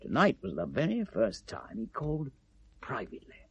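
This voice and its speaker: An actor playing an evasive, self-justifying British gift-shop owner in 1970s radio drama, talking in a hesitant, defensive, chatty way. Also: male voice, mid-range voice, huge pitch swings, moderate pace, vocal fry, a bit bright, breathy edge, dull audio, heavily acted. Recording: vintage radio drama recording.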